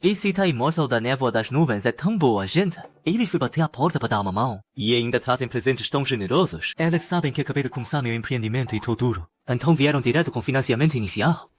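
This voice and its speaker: Cocky, animated schemer voiced by a man. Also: mid-range voice, fast, few pauses, lightly acted. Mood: gleeful. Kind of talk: dubbed motion comic drama.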